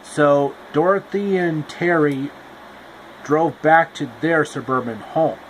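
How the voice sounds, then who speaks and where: A man's voice: on the high side, slow pace, slight background noise, poor audio. A man retelling a fairy tale in a loose, rambling casual narration with restarts. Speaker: storyteller. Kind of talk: casual improvised story retelling.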